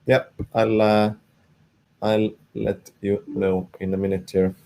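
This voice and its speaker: A man runs an online event over a video call. His voice is low and flat. He speaks haltingly and leans on "I mean" and "uh". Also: gravelly, low-quality recording. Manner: halting, heavy "I mean" and "uh" fillers. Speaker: event organizer. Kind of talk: casual spoken chat.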